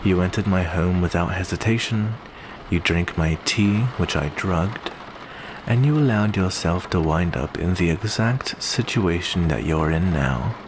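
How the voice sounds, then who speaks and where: A man playing a genteel male vampire who addresses the listener with endearments in a soft, close ASMR roleplay monologue. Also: low-pitched. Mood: sinister, gloating. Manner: unhurried, genteel, uses endearments. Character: courteous, smooth male vampire host with hidden predatory menace. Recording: clear steady background noise.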